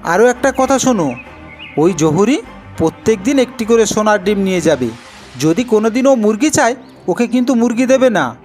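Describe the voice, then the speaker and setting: A man's voice: high-pitched, lively intonation, normal speed, music in the background, pitched above the performer's own voice. A man playing a poor, humble woodcutter in a cartoon folk tale, plaintive and respectful. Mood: instructing. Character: poor, sorrowful, pleading woodcutter, humble husband.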